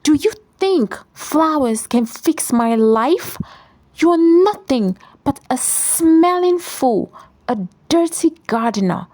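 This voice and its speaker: Woman acting a shrill, scornful young wife. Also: lively intonation, slow, dry recording. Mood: furious contempt. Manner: shouting, sneering.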